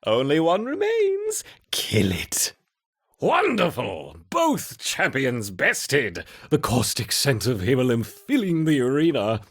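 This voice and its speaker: An actor voicing an unhinged, gleeful mad scientist in a video game, theatrical and muttering to himself. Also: male voice, mid-range voice, huge pitch swings, slow pace, a bit bright, heavily acted. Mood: doting, excited. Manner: theatrical, muttering to himself, swinging from purring to shrieking. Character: unhinged, gleeful male mad scientist doting on his specimens. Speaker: narrator and main character of a strategy game. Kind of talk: dramatic video game narration.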